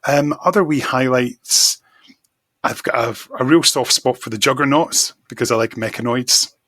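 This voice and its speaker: Enthusiastic man with a bright, husky voice. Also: medium pitch, normal speed, no echo. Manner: enthusiastic, effusive. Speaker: podcast guest, magazine editor. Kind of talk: casual chat, fan discussion.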